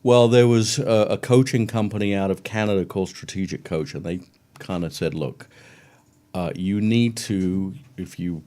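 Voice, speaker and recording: A middle-aged man with a London English accent, a guest chatting casually and warmly about his career in an interview. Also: low voice, moderate pace. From England. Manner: friendly, chatty, brief laughs.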